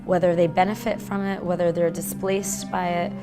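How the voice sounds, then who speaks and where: Lower-voiced woman teacher explaining, music under. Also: flat delivery. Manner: explanatory, measured. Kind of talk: documentary interview narration.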